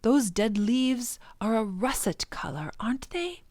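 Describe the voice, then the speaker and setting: A woman voicing an earnest, enthusiastic male professor in a children's storybook, urging listeners on with dramatic emphasis and hushed words. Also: fairly high pitch, animated, unhurried, no room sound, clean studio sound. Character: older male professor, passionate, earnest, wonder-filled teacher. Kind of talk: children's storybook read-aloud.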